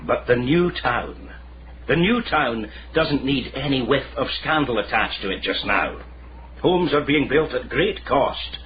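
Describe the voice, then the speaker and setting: An actor playing a condescending, quietly menacing Scottish Lord Provost in a radio drama, measured and insinuating. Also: male voice, mid-range voice, expressive, natural voice. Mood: veiled threat. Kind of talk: period radio drama acting. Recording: muffled, noisy radio recording.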